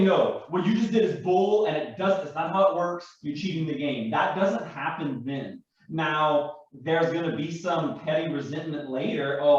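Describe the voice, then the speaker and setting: Emphatic man, distant and very echoey. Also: fairly high pitch. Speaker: panel guest explaining his hobby. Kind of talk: casual, animated explanation with acted-out examples. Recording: very echoey, dull, distant room audio.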